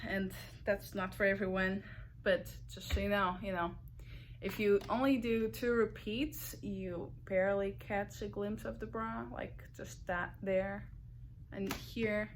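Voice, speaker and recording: Slow-talking Portuguese-accented woman. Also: mid-range voice, steady tone, slight background noise. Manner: unhurried, chatty, with fillers and self-corrections. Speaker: knitting podcast host. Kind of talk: casual chat to camera.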